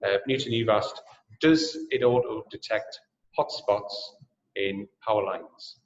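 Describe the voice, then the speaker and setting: A man from Newcastle with a Geordie accent, hosting a webinar and making a friendly sales pitch full of ums and uhs. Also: measured pace. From Newcastle, England. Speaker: webinar host and drone sales trainer. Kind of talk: presenting a webinar and answering questions. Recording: echoey, dull webinar audio.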